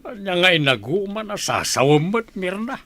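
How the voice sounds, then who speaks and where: A man's voice: high voice, big pitch swings, moderate pace, bright, forceful tone, no echo, heavily acted. A man with a Filipino accent playing a greedy, defiant village landowner in a radio drama. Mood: defiant, irritated.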